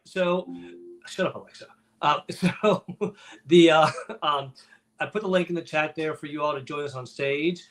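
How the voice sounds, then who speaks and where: A man's voice: medium pitch, fairly bright tone. A man hosting an online networking livestream in casual, unscripted chat, joking and rambling loosely as he goes. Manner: jokey, chatty, loosely rambling. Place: indoor room on a video livestream.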